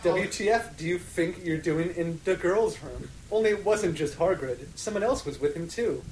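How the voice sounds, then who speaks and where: A man's voice: sits high, moderate pace, darkish tone, poor audio. A man co-hosting a podcast, giving a mock-serious, theatrical comic reading of fan fiction. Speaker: podcast co-host.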